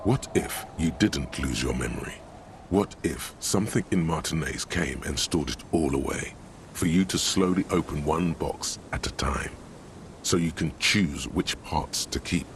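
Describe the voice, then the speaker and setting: A man playing the brooding inner voice of a detective's mind in a game, speaking in slow, poetic lines. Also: a bass voice, a little hiss or hum. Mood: brooding, hushed. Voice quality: gravelly, breathy at times. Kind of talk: dramatic game narration.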